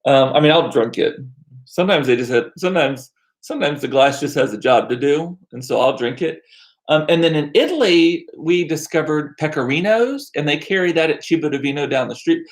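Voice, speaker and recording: A middle-aged American man chatting casually as a livestream host, rambling along with self-deprecating asides and animated, repeated words for emphasis. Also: fairly high pitch, a little fast. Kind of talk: casual chat to live viewers. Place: small home room used as studio.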